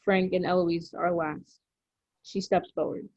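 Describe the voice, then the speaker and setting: A woman narrates a drama script, reading out the stage directions. Her voice sits fairly low, and the recording is very dry with a dull, lo-fi sound. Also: steady tone, measured pace, a little mellow, no room sound. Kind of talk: scripted narration at a table read. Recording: dry, muffled lo-fi audio.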